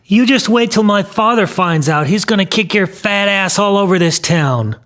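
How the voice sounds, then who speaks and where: Twelve-year-old boy acted by a male narrator for an audio story: high, cracking, plaintive, scared yet defiant. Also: expressive, moderate pace, a bit bright. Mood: tearful defiance. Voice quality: cracking teenage voice.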